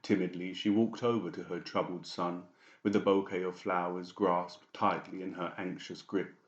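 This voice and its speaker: A man's voice: deep voice, fairly even intonation, moderate pace, roomy sound, muffled recording. A man reading scripted narration for a dramatised audiobook.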